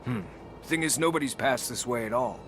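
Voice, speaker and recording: A man's voice: medium pitch, brisk, a bit bright, lightly acted. A man voicing an evasive, nonchalant fellow playing dumb in a video game, with feigned puzzlement. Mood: feigned innocence. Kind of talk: video game character acting. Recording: clear background ambience noise.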